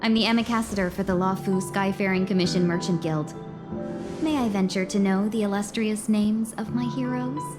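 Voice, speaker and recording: Young merchant envoy for a game, actress: mid-range voice, gracious formal delivery, music underneath. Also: female voice, medium pitch, moderate pace, lightly acted. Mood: gracious, composed. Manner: courteous, flowery formal phrasing. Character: polite, refined young female merchant ambassador. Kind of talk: video game voice acting.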